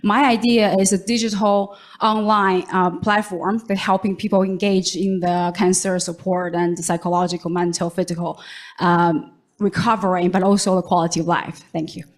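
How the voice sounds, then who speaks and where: A woman, a nurse, giving a short pitch at an event in a halting way, searching for words. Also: level tone.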